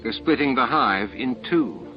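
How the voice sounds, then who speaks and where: A man's voice: mid-range voice, animated, a little slow, faint music behind, close to an everyday voice. A man voicing a composed, precise female science officer in an audiobook. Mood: puzzled, precise. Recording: muffled, band-limited tape audio.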